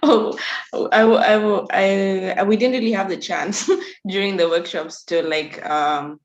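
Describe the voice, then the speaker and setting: A woman's voice: medium pitch, steady tone, a little slow, muffled recording. A woman from Kenya, a youth workshop facilitator, speaking on a panel discussion, laughing often and restarting her sentences.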